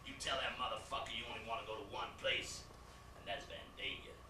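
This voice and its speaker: A man doing a swaggering gang inmate who talks in slangy, profane street speech. He sounds distant and roomy, with steady noise behind him, as in a live stage recording. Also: medium pitch, a little fast, close to an everyday voice.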